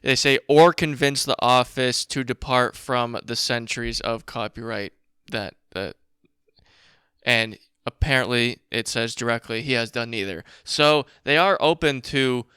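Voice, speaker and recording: A man hosting a casual commentary show, reading documents aloud and remarking on them in a blunt, informal, profane way, with a slight rasp. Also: mid-range voice, steady tone, measured pace, pushed and bright, no room sound, clean studio sound. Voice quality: raspy. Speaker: show host.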